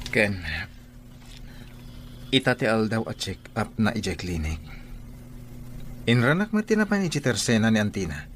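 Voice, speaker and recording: An actor playing a weary, suspicious, grumbling husband in a radio comedy drama. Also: male voice, on the low side. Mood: conversational. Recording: background noise, ambience and vehicle sounds.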